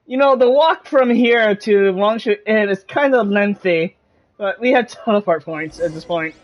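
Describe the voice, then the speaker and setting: Mid-pitched woman commenting on a game. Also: moderate pace, highs cut off. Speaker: game streamer. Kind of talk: casual let's-play commentary. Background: game music.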